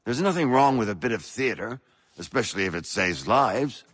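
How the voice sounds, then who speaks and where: An actor playing a shrewd, persuasive older colonial patriot organizer in a video game, assured and rhetorical with an avuncular manner. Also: male voice, mid-range voice, lively intonation, normal speed, a bit bright, breathy edge, dry recording. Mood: persuasive, insistent. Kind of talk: video game dramatic acting.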